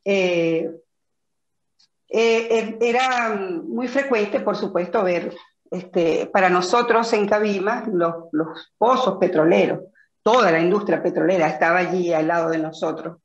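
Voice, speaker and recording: A woman's voice: on the low side, measured pace. A middle-aged woman from Venezuela with a Zulia accent, thoughtfully answering interview questions with frequent fillers and restarts. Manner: reflective, many fillers and restarts. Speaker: interview guest. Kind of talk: conversational interview. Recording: echoey, dull lo-fi audio.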